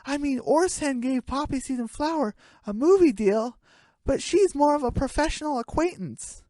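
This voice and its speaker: Blunt, gullible chicken acted by an adult performer, raised pitch, comedy radio play sidekick. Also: lively intonation, moderate pace, darkish tone, no echo. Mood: matter-of-fact.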